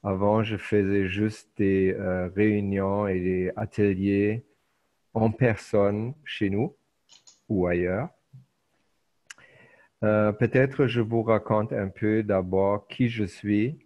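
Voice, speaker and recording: A middle-aged man whose first language is German, giving an online lecture in a hesitant way with many filler pauses. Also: lowish voice, steady tone. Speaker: teacher. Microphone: video call. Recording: muffled video call audio.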